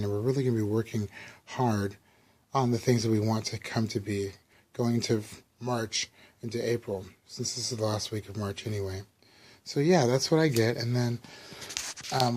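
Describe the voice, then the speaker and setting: A man with a slightly raspy voice, casually talking through a weekly tarot reading with loose, rambling explanations. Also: on the low side. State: slightly raspy, under the weather. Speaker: tarot reader.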